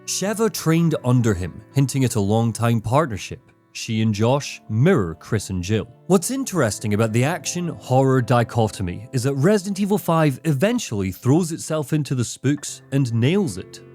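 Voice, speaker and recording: A man's voice: mid-range voice, big pitch swings, normal speed, hardly any pauses, darkish tone. A man delivering scripted narration for a critical video essay, with dramatic, emphatic phrasing. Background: background music, guitar.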